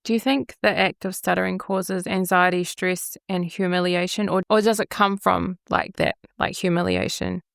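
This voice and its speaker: A woman hosting a podcast, chatty and quick to agree in casual conversation. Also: on the low side, fairly even intonation, creaky, no room sound, studio quality. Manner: chatty, frequent affirming interjections. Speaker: podcast host.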